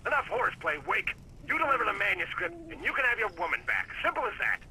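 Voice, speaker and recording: A menacing American kidnapper in a game, high-pitched and swinging widely as he taunts. Clear noise sits behind the voice. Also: huge pitch swings, fast, bright, forceful tone, full character voice, poor audio. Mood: taunting menace. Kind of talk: villain acting in a video game.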